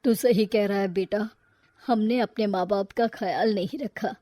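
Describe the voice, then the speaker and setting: A woman's voice: mid-range voice, measured pace, mellow, no echo, pitched below the performer's own voice, natural voice. A middle-aged retired family man from a cartoon moral tale, acted by a woman. He is a plain-spoken father figure, recorded close and dry. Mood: remorseful. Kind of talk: cartoon moral-story character voice.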